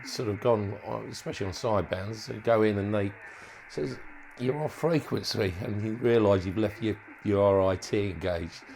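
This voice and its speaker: A man's voice: fairly low pitch, moderate pace, vocal fry, few pauses, a little mellow, slight background noise. A man giving an informal hands-on demonstration as a hobbyist, thinking aloud in a hesitant way and often trailing off. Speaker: hobbyist presenter demonstrating modified radio gear.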